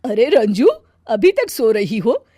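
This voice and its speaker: A bossy, offended middle-aged mother-in-law in a family story, played by a woman. Her voice is high and deliberate, full of sarcasm and orders, recorded very dry. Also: sing-song, dark tone, dry recording, full character voice. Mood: commanding. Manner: sarcastic, commanding. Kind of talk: dramatized Hindi family story.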